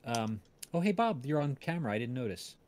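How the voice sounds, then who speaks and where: A man's voice: medium pitch, big pitch swings, brisk, a little mellow. A man hosting a casual livestream chat over an online voice call. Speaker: livestream host.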